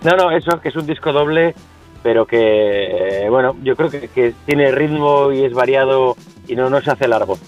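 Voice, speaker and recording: A man from San Sebastián, a musician guest in a casual radio interview, talking haltingly with many restarts. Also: medium pitch, soft background music, low-quality recording. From Venezuela, raised in San Sebastián.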